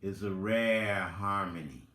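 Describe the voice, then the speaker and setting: Guy doing a theatrical reading, really slow n deliberate, bright forceful voice, roomy echo. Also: sits low. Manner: theatrical, deliberate, drawn-out phrasing with long pauses. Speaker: reader and commentator, presenter of the recording. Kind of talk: dramatic reading with informal asides. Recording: roomy, echoing sound.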